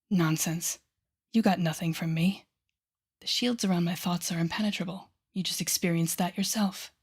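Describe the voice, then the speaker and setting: Casting: sardonic supervillainess played by a woman, lowish voice, theatrical and teasing, secretly soft-hearted, intimate audio drama delivery. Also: steady tone, slightly rough. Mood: dismissive, haughty. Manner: sardonic, theatrical, teasing, with sighs and deliberate pauses. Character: haughty, sardonic telepathic female villain, secretly soft-hearted. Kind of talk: intimate audio roleplay acting addressed to the listener.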